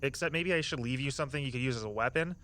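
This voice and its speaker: A man playing an easygoing, loyal young tour guide friend in an audio drama, talking in a casual, chatty, gently earnest way. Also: mid-range voice, very fast, close to an everyday voice. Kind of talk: naturalistic audio drama dialogue.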